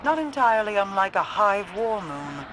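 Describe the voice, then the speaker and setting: An actor playing a grave, scholarly strategist in a video game, speaking formally and deliberately. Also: male voice, high-pitched, sing-song. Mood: grave, thoughtful. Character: grave, scholarly male strategist explaining an enemy's war plans. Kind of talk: scripted video game dialogue. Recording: game audio with clear background noise.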